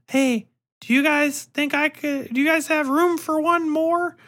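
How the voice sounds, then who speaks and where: A man's voice: steady tone, a little slow, fairly bright tone. A man voicing a naive little girl from a film, pleading in a childlike, wheedling way. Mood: pleading, hopeful. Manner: high, wheedling kid voice.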